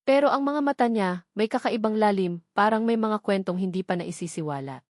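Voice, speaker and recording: A woman's voice: medium pitch, expressive, a little fast, no room sound, clean studio sound. A woman narrating a first-person romantic drama in an earnest, emotional, reflective manner.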